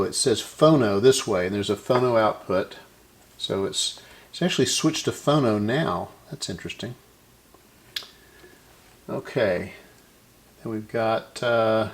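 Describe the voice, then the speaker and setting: Creaky-voiced man thinking aloud. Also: medium pitch. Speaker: host of a vintage radio repair video. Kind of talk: casual hands-on narration while working.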